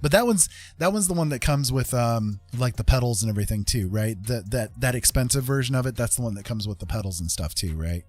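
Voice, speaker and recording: A man hosting a live stream in a casual, rambling chat, often pausing mid-thought and repeating his phrases. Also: medium pitch, animated, darkish tone.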